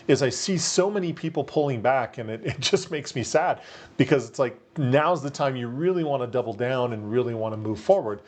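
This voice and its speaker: Guy with a radio-type voice pitching marketing tips to camera, chatty, lots of ums. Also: darkish tone. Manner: upbeat, persuasive, many fillers. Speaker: marketing coach for real estate agents. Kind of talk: casual talk to camera.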